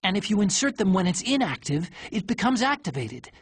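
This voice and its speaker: A man's voice: sits high, big pitch swings, a little fast, slightly rough, no echo, full character voice. A man playing an earnest, eager technician in a video game, patiently explaining things over a radio. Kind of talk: video game cutscene acting.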